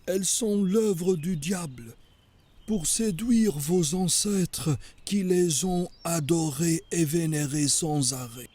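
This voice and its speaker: Husky, solemn, high-pitched cartoon sheikh. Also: male voice, a little mellow. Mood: solemn teaching. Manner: measured, grave, preaching. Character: pious, solemn sheikh teaching about the prophet. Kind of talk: French cartoon dubbing.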